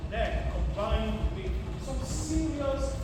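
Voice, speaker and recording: Stage narrator, Nigerian accent, measured and high, recorded far off in a noisy hall. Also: male voice, expressive, exaggerated voice. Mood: reflective. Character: reminiscing confraternity founder narrating his student rebellion.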